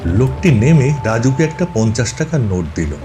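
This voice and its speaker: A man's voice: mid-range voice, sing-song, normal speed, music in the background. A man reading a scripted ghost story as its narrator.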